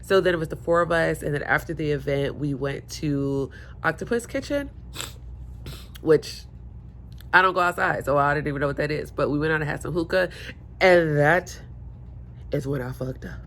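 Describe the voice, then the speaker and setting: A woman's voice: deep voice, normal speed, pauses often, a little hiss or hum. A woman vlogging casually at home, chatty and rambling, stuffy and hungover, talking into her phone. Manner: chatty, rambling, self-mocking. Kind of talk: casual chat to camera. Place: home room by windows. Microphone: phone held or propped.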